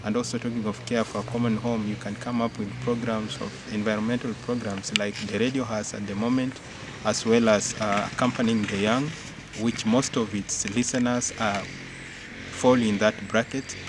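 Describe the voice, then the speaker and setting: A young man, a Jesuit novice, speaking earnestly and in measured fashion about his formation, with frequent fillers. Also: lowish voice, steady tone. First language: not English-speaking only; English speaker. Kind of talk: reflective interview testimony. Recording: clear insect and cricket noise behind.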